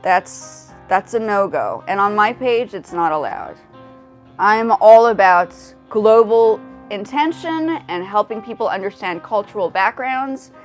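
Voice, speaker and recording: Host, forties woman, mid-pitched voice, loose rambling chat to viewers, faint music under her. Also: lively intonation, measured pace. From grew up in South Africa, the United States and Japan. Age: 40s. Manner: rambling, full of restarts and asides to chat. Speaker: livestream host. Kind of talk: casual livestream chat.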